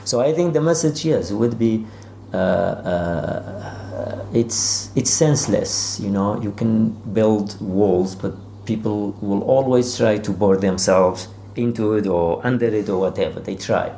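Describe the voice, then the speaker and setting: In a roomy, echoing space with faint noise, a man talks informally as an author being interviewed. His voice is soft-edged and mellow, and he feels his way through sentences, doubling back on words. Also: lowish voice. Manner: halting, searching delivery with repeated words. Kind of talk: informal literary discussion.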